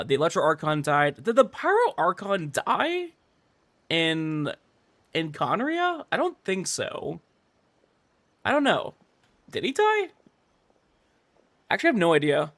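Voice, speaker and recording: A man's voice: fairly high pitch, a bit bright, dry recording. A man streaming a game, chatting casually with viewers and thinking aloud as he goes. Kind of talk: casual livestream chat over gameplay. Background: game music.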